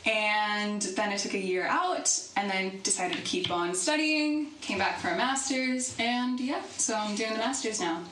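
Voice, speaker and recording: A woman's voice: mid-range voice, lively intonation, a little slow, few pauses, a bit bright. A young woman with an American accent co-hosting a podcast in casual banter. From San Jose, California. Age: young adult. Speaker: podcast co-host. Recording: echoey room, distant mic, faint noise.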